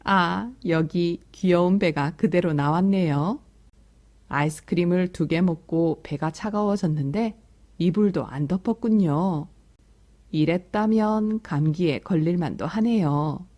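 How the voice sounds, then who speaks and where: Casting: female picture-book narrator for young kids, very mellow, slightly low voice, soothing child-directed commentary. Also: measured pace. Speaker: storytelling teacher for young children.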